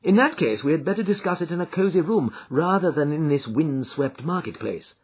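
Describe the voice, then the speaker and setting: A man voicing a calm, confident detective who speaks blandly and cheerily, heard as a dull lo-fi audiobook recording. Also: on the high side, brisk, husky, pitched above the performer's own voice. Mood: suave.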